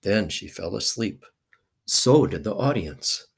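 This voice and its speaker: A man's voice: lowish voice, lively intonation, slow pace, vocal fry, husky. A man reading a children's picture book aloud expressively, with dramatic emphasis.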